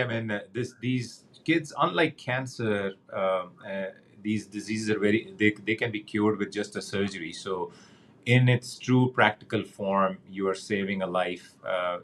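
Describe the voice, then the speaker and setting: A middle-aged man from Pakistan answers interview questions in a fairly low voice. He speaks earnestly and haltingly, full of fillers and restarts. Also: fairly bright tone. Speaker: guest, banking executive. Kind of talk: conversational interview.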